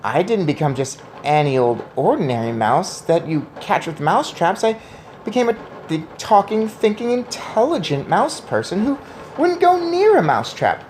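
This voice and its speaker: A woman voicing a clever, eager boy who is a talking mouse, in an excited, emphatic storybook reading. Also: on the low side, moderate pace, a little hiss or hum, voice pushed up. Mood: worried. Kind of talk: storybook read-aloud.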